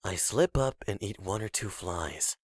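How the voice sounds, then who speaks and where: A mild, put-upon underling acted by a man with a low voice, recorded dry. He grumbles in a self-pitying way. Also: animated, measured pace, no echo. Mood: aggrieved. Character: put-upon, mild male underling who eats flies. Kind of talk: comic dub character acting.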